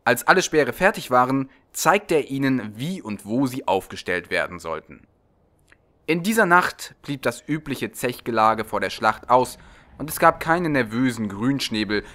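A man with a medium-pitched voice reads a story aloud. He delivers scripted narration in the manner of an audiobook reader. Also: animated, normal speed, bright, forceful tone.

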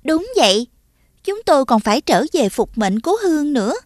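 A woman voicing an outspoken young royal lady in an animated fairy tale, with a pointed, formal way of talking. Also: sits high, normal speed, noisy background.

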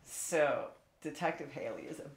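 A woman who acts, chatting casually at a roundtable in a blunt, animated way, acting out her own reactions. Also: sits low, normal speed, vocal fry. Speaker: voice actress on a podcast panel. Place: room, group around a table. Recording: roomy raw recording, other voices around.

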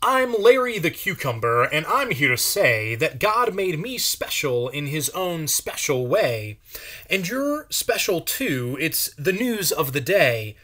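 A cheerful, bouncy cucumber fellow from a children's book, acted by a man. He rhymes in a sing-song way, with a high-leaning, animated voice. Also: slow pace, heavily acted. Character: Larry, cheerful talking male cucumber.

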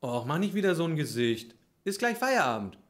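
Man playing a simple, earnest warehouse worker, lively pitch. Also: brisk. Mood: grumbling, busy. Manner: earnest, colloquial, easily baffled. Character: contented, simple-hearted male deposit-bottle warehouse worker. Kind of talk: improvised audio play. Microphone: microphone on stand.

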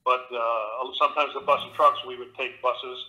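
A man's voice: mid-range voice, steady tone, moderate pace, pushed and bright, rough recording. A middle-aged man, an actor and audiobook narrator, talking casually in an interview from his home studio with a theatrical, expansive manner.

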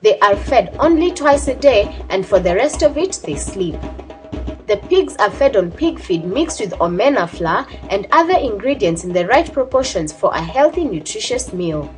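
Female documentary narrator, scripted read, animated intonation, mid-pitched, faint music bed. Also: normal speed.

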